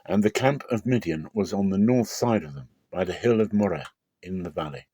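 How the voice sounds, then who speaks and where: A man gives a dramatic reading of scripture as narrator. His voice is low and dark, the delivery solemn and theatrical, and the recording is dry and close. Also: fairly quick, slightly rough, no room sound.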